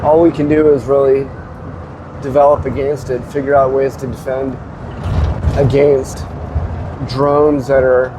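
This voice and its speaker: Male host with a head cold, stuffy and halting, mid pitch; noisy hum under the voice. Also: level tone. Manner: rambling, hesitant, many restarts. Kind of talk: casual spoken commentary. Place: car.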